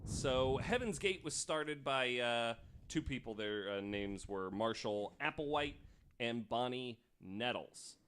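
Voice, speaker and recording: A man with a mid-pitched voice hosts a casual podcast, telling a story from notes in informal speech. There is some background noise with small clicks. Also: expressive, slow, a bit bright. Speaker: podcast host.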